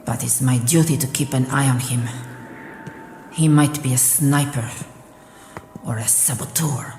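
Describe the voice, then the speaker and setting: A woman's voice: extremely low pitch, unhurried, darkish tone, slight background noise. A woman playing a haughty, suspicious enemy soldier in a radio drama, speaking with scornful deliberation. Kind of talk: radio drama acting, inner monologue. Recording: echoey reverberant voice, long reverb.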